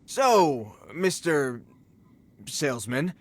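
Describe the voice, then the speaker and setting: A man's voice: mid-range voice, animated, very slow, a little hiss or hum. A man voicing an anxious small-time bandit in a video game, hesitant, placating and deferential. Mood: nervously polite.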